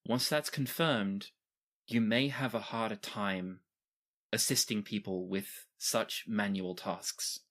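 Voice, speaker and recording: A man's voice: fairly low pitch, fairly even intonation, a bit bright. Man voicing a formal, deferential female retainer. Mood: measured, candid. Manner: formal, measured, respectful. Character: deferential professional female retainer, former adventurer, labor class.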